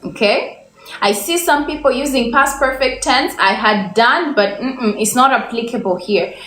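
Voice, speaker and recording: A woman's voice: fairly high pitch, a bit bright. A young woman from Uganda teaching English on a livestream. She stretches out her example sentences and keeps asking viewers for the correct answer. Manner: slow, drawn-out example sentences, repeated questions to viewers. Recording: echoey room, faint background noise.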